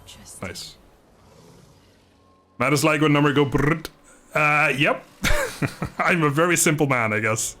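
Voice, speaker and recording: A man's voice: fairly high pitch, unhurried, pauses often, pushed and bright. A man giving casual, rambling, self-deprecating commentary as he livestreams a video game. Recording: music playing behind the voice.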